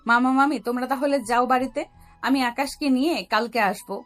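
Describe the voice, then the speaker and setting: A woman's voice: high-pitched, moderate pace, soft background music, natural voice. A woman voicing a grateful, anxious young runaway bride in an audio story. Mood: reassuring.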